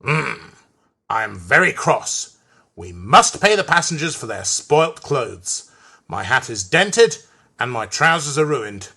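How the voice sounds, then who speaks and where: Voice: medium pitch, big pitch swings, slow, vocal fry, pushed and bright, breathy edge, exaggerated voice. A man voicing a cross, pompous male railway boss in a children's story, with an emphatic scolding manner.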